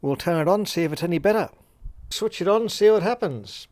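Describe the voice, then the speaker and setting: A man's voice: high voice, expressive, fairly quick, darkish tone, no echo. A man giving a casual workshop demonstration, thinking aloud with some hesitation. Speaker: hobbyist radio repairer and presenter.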